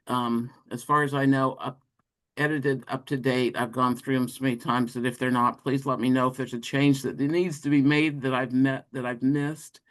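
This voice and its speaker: A man presents slides informally to fellow educators at a middle pitch. He speaks in a halting way, often stopping to rephrase and correct himself as he explains each part. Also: fairly bright tone.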